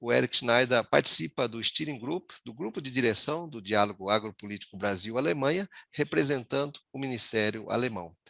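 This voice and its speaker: Gravelly man moderating a webinar. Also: mid-range voice, sharp tone, no echo. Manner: measured, with frequent hesitation fillers. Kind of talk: formal webinar introduction.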